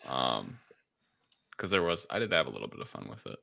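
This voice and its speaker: A man's voice: extremely low pitch, sing-song, brisk, creaky, sharp tone. A man co-hosting a podcast, talking casually as he reviews a film. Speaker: podcast co-host.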